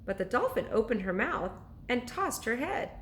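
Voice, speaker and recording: A woman reading a children's story aloud like a teacher to pupils, with expressive, deliberate narration. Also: fairly high pitch, slight background noise.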